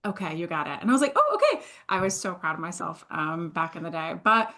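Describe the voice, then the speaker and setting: A woman in her thirties, originally from Switzerland, chatting as a podcast guest in a slightly low voice. She tells her story in an animated, self-deprecating way, moving quickly between upbeat highs and quieter asides. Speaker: guest, sales entrepreneur. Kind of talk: casual podcast interview.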